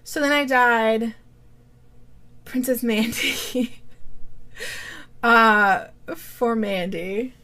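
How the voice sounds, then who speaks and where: A woman's voice: fairly high pitch, steady tone, drawn-out words, long pauses, bright, forceful tone. A woman with a cold, chatting casually as she hosts a yarn-dyeing video podcast, rambling with many fillers and restarts. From Vermont, USA. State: has a cold. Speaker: yarn dyer and podcast host.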